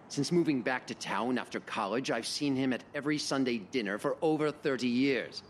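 A man's voice: mid-range voice, a little hiss or hum, lightly acted. An actor playing an earnest, polite middle-aged man seeking help in a radio drama, formal and intense.

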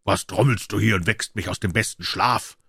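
A man as a gruff, grumpy huge giant. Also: fairly low pitch, normal speed, fairly bright tone, no echo, studio quality, heavily acted. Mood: annoyed, threatening. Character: huge, grumpy, sleepy male forest giant, easily fooled. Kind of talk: fairy tale character voice.

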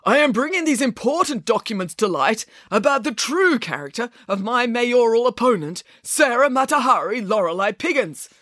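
A woman's voice: a little high, animated, moderate pace, hardly any pauses, a bit bright, no echo, studio quality, voice pushed up. A woman voicing a smug, self-important male politician in a children's storybook, proclaiming accusations. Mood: pompous, accusing.

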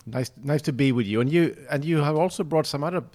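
A man hosting an interview, asking questions in a halting way with frequent restarts. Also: fairly high pitch, darkish tone. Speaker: host. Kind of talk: casual interview.

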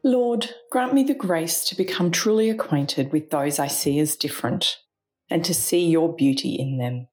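An Australian woman reading a scripted spiritual reflection in a measured, reflective way. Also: low voice, lively intonation, darkish tone, soft background music. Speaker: reflection speaker, charity mission partner.

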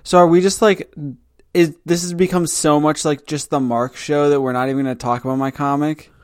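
A fairly high-voiced man hosts a podcast, teasing his co-host and joking in an animated, loose way. His voice sounds dry and close-miked. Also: brisk, smooth, no echo. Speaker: podcast host, comic creator. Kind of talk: casual chat.

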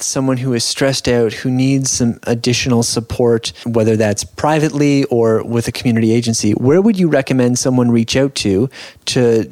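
A man hosting a panel discussion, asking questions in a measured, articulate and earnest way. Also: mid-range voice, darkish tone, no room sound. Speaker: podcast host, interviewer.

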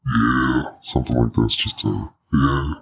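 A man voicing a deep, suspenseful male narrator for a radio drama, low and husky. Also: fairly even intonation, a little slow, fairly bright tone, full character voice.

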